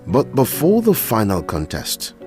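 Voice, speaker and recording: A man's voice: on the low side, huge pitch swings, unhurried, a little mellow. A man with a Nigerian accent narrating a radio drama in a dramatic scripted style. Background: music.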